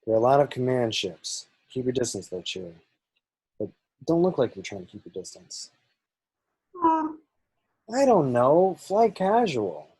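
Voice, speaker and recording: A man playing a cocky, wisecracking smuggler pilot with a husky voice and nervy bravado, in a script table read. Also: mid-range voice, wildly swooping pitch, a little slow, pauses often, dark tone, no echo, heavily acted, rough recording. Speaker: actor in an online table read. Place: remote video call, indoors.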